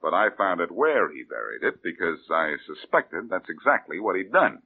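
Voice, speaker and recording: A wisecracking radio detective. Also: male voice, moderate pace, creaky, heavily acted. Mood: cocky. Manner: breezy, joking, lively pitch. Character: wisecracking male detective, cool and cocky. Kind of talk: 1940s radio acting. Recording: narrow phone-like old radio broadcast.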